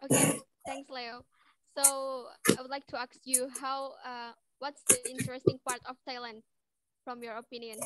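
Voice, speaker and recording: A woman with an Indonesian accent hosting an online class, speaking English slowly and carefully. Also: high-pitched, level tone, heavy background noise. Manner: slow, careful English with pauses. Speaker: host. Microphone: video call.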